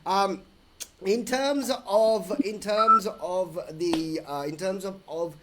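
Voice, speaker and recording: A man's voice: sits high, slow pace, heavy background noise. A man from Sri Lanka hosting a casual podcast interview, chatty and prone to restarts. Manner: chatty, frequent restarts and fillers. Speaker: interviewer host.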